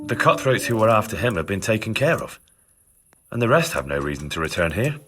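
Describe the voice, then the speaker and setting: A man's voice: on the low side, very animated, a little fast. An actor playing an earnest, plain-spoken young peasant man-at-arms in a video game, explaining himself respectfully. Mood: insistent. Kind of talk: video game cutscene acting.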